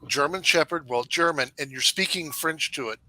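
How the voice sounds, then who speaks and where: Very bright, teasing male host. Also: on the high side, moderate pace, no echo, rough recording. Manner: chatty and teasing. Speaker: channel host. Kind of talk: casual chat on a livestream.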